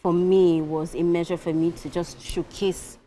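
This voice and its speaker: A woman's voice: on the low side, level tone, a little slow, dark tone, a little hiss or hum. A Nigerian woman with an Igbo accent, a singer and actress in her thirties, chatting playfully as a guest on a morning talk show. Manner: laughs easily, playful. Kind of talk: casual talk show interview.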